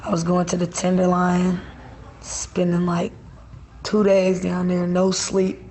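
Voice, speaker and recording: Flat-toned woman telling her story casually. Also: fairly low pitch, slow pace, slight background noise. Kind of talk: documentary interview.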